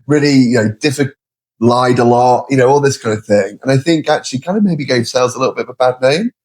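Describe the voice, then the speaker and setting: A middle-aged man with a British accent explaining his views in a measured, conversational way as an interview guest. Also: mid-range voice, darkish tone. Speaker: interview guest, sales leader.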